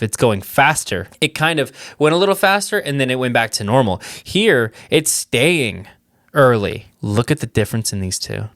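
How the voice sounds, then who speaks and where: A man's voice: mid-range voice, a bit bright. A young man in a casual vlog, thinking aloud with plenty of fillers as he tests music mixes, keen and talkative. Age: young adult. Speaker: music producer testing cassette tape.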